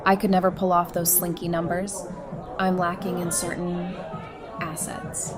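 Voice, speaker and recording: A woman's voice: on the low side, level tone, measured pace, lightly acted. An actress playing a wry, candid, friendly woman who talks conversationally in an audio drama. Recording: party music and crowd behind.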